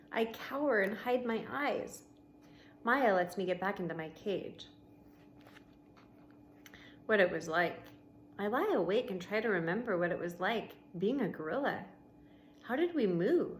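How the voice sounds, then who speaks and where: Higher-voiced woman reading a children's book aloud. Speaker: teacher reading aloud to pupils. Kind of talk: expressive children's book read-aloud with casual asides. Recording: noticeable background noise.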